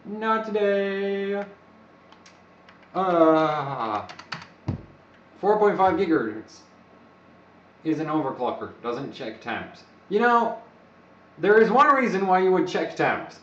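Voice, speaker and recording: A man's voice: fairly high pitch, sing-song, takes it slowly, pauses often, far from the mic, a little hiss or hum. A man who is not a native English speaker, rambling and joking on a live stream to his viewers. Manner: rambling, joking, talks to himself. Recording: echoey room, away from the mic, dull lo-fi sound.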